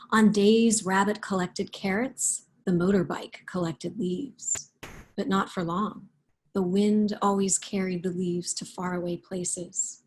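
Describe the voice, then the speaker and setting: Slow-spoken woman with a soft, husky voice. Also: lowish voice. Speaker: picture book author and guest. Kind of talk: read-aloud storytelling and reflective interview talk.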